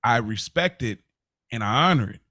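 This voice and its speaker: A man's voice: mid-range voice, lively intonation, normal speed, sharp tone, no room sound, studio quality. An American man co-hosting a podcast, debating casually in a slangy, conversational way and often interjecting. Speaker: podcast co-host. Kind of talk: casual debate between friends.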